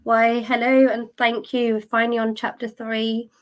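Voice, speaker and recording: A woman's voice: fairly high pitch, little pitch movement, measured pace. Tired woman with a cold. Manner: open and candid, thinking aloud, with ums and restarts; tired and drained. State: has a cold, a little croaky. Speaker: podcast host. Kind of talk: solo podcast talk. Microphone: podcast microphone.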